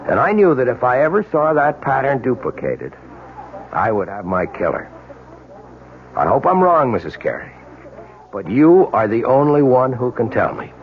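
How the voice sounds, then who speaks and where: An American actor playing a dogged, world-weary police detective in a radio drama, speaking earnestly and insistently with deliberate dramatic pauses. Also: male voice, medium pitch, very animated, natural voice. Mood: intense, persuasive. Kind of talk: 1970s radio drama acting. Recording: dull, lo-fi old radio drama recording.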